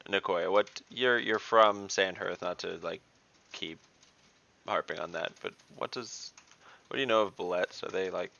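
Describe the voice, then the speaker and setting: A man with a very low, creaky voice chats casually as a player in a tabletop game. He speaks haltingly, often starting a question over, over slightly muffled online call audio. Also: pushed and bright.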